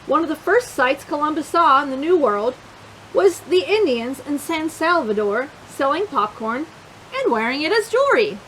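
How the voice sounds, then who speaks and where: A woman reading a picture book aloud to children as a storytime reader, expressive and emphatic, drawing out key words and adding little asides to her young listeners. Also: high-pitched, normal speed, a little hiss or hum, rough recording.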